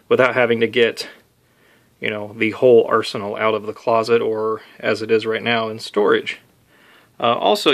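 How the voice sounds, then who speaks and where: A man's voice: mid-range voice, fairly bright tone. A man talking casually through a hands-on tutorial, unscripted and lightly self-deprecating. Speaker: DIY video maker demonstrating an amp modification.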